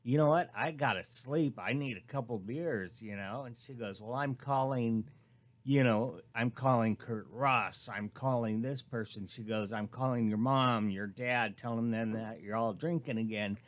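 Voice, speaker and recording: Candid man telling stories, mid-pitched voice. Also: unhurried. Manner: candid, conversational. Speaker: radio co-host. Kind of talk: casual personal storytelling.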